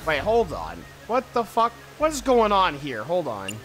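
A man's voice: high voice, wildly swooping pitch, measured pace, a bit bright, faint music behind. A man streaming a puzzle adventure game, casually commenting, reading text aloud and muttering to himself.

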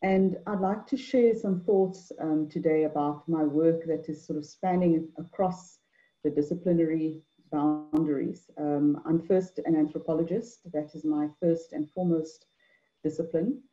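A middle-aged woman from Mauritius gives an online talk on a video call, measured, with many fillers and repeated words. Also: low-pitched, steady tone, warm tone. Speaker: anthropologist and poet speaker. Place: indoor room at home. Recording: dull lo-fi video call audio.

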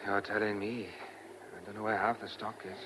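A man's voice: lowish voice, flat delivery, moderate pace, close to an everyday voice. A man playing a blunt, teasing young farmer and sweetheart in a radio drama. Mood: teasing, fond. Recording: noisy radio play recording.

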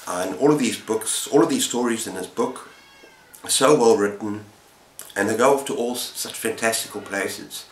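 Low-voiced middle-aged man, roomy sound. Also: moderate pace. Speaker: book reviewer and channel host. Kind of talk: casual chat to camera about books. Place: small indoor room with bookshelves. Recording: roomy echo, faint background noise.

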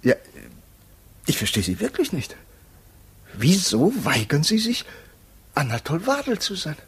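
An actor playing a polite, officious defence lawyer in a 1950s German radio play, formal, hesitant and placating. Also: male voice, on the high side, wildly swooping pitch, a little slow, slight background noise, exaggerated voice. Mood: flustered, insistent.

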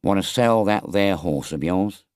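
A laconic horse trader who doesn't waste words, as a man puts him on. He sounds low and offhand, with dry close sound. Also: animated, unhurried, smooth, no room sound. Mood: careless. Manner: curt, careless.

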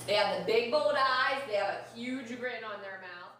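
A young woman teaching an interactive read-aloud to young children, expressive and instructive, stressing key words slowly. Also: a little high. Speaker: primary school teacher. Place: elementary classroom, indoors. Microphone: far camera. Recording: roomy, noisy classroom, distant camera microphone.

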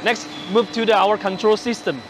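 High-voiced man giving a factory tour. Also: steady tone, a little hiss or hum. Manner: halting, many uh fillers and restarts. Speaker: company presenter on a factory tour. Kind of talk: product walkthrough. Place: large factory floor indoors.

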